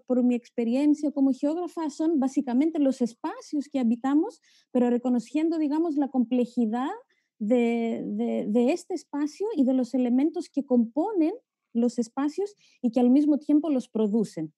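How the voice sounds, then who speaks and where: A woman speaks as an academic panelist in a fairly high but very soft, dark-toned voice. The sound is dry and close. She thinks aloud informally, often adding little tags to check understanding. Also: dry recording. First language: Greek. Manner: reflective, frequent checking tags. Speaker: geographer, panelist. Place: remote online video forum.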